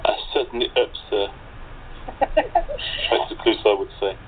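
A man's voice: medium pitch, huge pitch swings, normal speed. A man from a radio play cast, chatting casually on a remote group call. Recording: tinny, noisy phone-line call.

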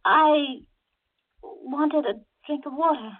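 An actress playing a frightened hostage, narrating in the hushed, melodramatic style of 1940s radio drama. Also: female voice, high-pitched, slow pace. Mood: frightened, pleading. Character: frightened woman held hostage, breathlessly recounting her ordeal. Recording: narrow lo-fi old radio recording. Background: dramatic music.

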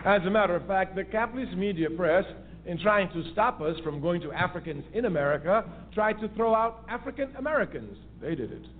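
A man giving an impassioned political talk on a call-in radio show, emphatic and repeating his key phrases for force. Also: a little high. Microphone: phone line. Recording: tinny phone line with faint hiss.

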